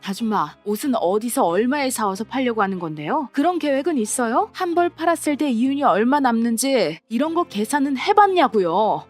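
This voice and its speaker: A woman voicing a cool-headed young adult daughter who speaks calmly and coldly. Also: on the high side, fairly quick, hardly any pauses, soft background music. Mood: cutting. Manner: calm, cold, cutting. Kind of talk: dramatized story reading.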